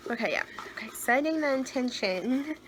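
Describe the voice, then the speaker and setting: A young woman with a higher-pitched voice doing a casual tarot card reading, chatty and gushing, sometimes dropping to soft murmured asides. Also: animated, normal speed, noisy background. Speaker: tarot reader.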